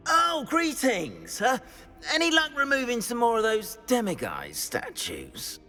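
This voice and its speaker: A man's voice: very high voice, huge pitch swings, measured pace, bright, forceful tone, long reverb tail, soft background music, exaggerated voice. A man playing an anxious, fussy wizard school caretaker in a video game, speaking in a dramatic, hesitant, florid way. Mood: grateful, earnest. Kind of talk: video game character acting.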